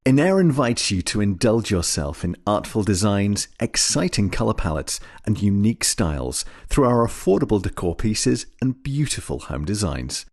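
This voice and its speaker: A man reading a scripted commercial voice-over in a measured, smooth sales delivery. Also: fairly low pitch, big pitch swings, darkish tone.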